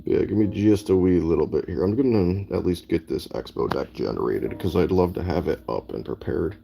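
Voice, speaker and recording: Deep gravelly man streaming a game. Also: animated, brisk, mellow, a little hiss or hum. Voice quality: raspy. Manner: laid-back, chatty, reads out chat comments. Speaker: gaming streamer. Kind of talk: casual live gameplay commentary.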